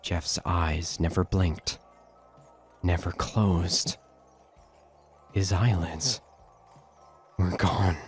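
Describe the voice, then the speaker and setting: A man's voice: sits low, steady tone, drawn-out words, long pauses, breathy edge, soft background music. A man narrating a scripted horror story as the narrator of an audio drama.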